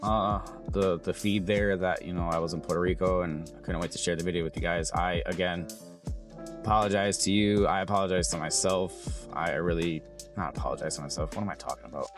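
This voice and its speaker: A young adult man with a hoarse, raspy voice, chatting casually and rambling as he loses his train of thought. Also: low voice, steady tone, fairly quick, faint music behind. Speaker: vlogger. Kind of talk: casual vlog chat.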